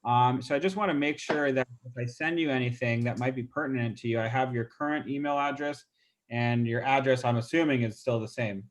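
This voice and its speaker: A man with a stuffy nose talks through a real estate call script over a video call. His pitch is mid-range, and his delivery is chatty and informal, full of ums and restarts. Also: fairly quick. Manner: casual, chatty, many fillers. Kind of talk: role-play training demo.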